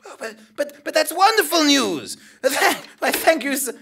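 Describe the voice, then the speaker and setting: A man performs a pompous, bumbling investigative journalist in a live comic audio play. He takes his time over each phrase, and his pitch swings theatrically up and down. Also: big pitch swings, unhurried, creaky, bright, forceful tone, heavily acted. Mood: excited. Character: self-important, bumbling male freelance investigative journalist. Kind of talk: live comedic audio-drama performance, first-person narration and dialogue. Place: on a small theatre stage before a seated audience. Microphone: stand microphone.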